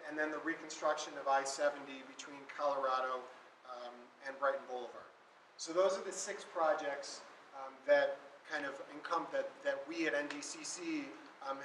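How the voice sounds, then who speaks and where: A man with a loud, bright-edged voice walks the room as he presents a city project to a public meeting, filling pauses with ums and restarting sentences. He sounds a bit off the mic in an echoing meeting hall with faint noise behind him. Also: a little high, recorded from a distance. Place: meeting room in an events complex. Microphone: walking away from the microphone.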